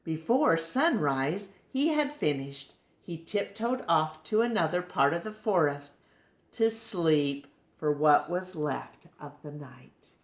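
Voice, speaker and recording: A woman's voice: low voice, big pitch swings, slow pace, roomy sound. A woman narrating a children's picture book expressively as a storytime reader.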